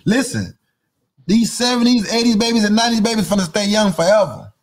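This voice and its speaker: A man's voice: sits high, lively intonation, brisk, slight background noise. A man co-hosting a podcast, arguing insistently in casual banter and tacking "fam" onto his points. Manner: loud, insistent, keeps saying "fam". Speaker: podcast co-host. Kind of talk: casual argumentative chat.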